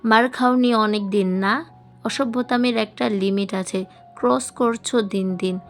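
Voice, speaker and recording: A woman voicing a stern, exasperated young mother who scolds in a sharp-tongued way. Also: fairly high pitch, fairly even intonation, a little slow, soft background music. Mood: stern, scolding.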